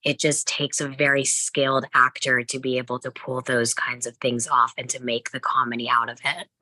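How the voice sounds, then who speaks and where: A woman's voice: very deep voice, level tone, normal speed, sharp tone, dry recording, rough recording. A woman chipping into a casual group discussion. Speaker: discussion participant.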